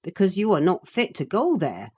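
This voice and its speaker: A woman reading an audiobook, voicing a practical, cautious woman servant who talks in a measured, reproving way. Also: fairly low pitch, wildly swooping pitch, slightly rough, exaggerated voice. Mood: protesting.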